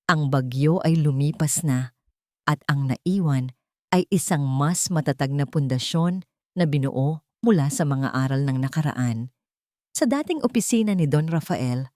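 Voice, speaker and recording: A woman's voice: low voice, darkish tone, no room sound, clean studio sound. A woman with a Filipino accent narrating a scripted dramatic story as a storyteller.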